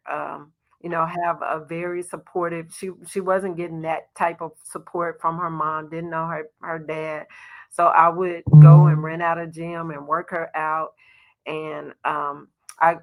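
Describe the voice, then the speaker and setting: Soft-spoken woman coach telling stories. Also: sits low. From Little Rock, Arkansas. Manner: storytelling, warm laughs, frequent "you know". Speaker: associate head basketball coach, guest. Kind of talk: conversational podcast interview.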